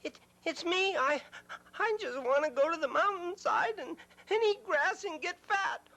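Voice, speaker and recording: A man's voice: animated, a little slow, creaky, bright, forceful tone, heavily acted. A man voicing the smallest billy goat, timid and stammering. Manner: stammering, squeaky.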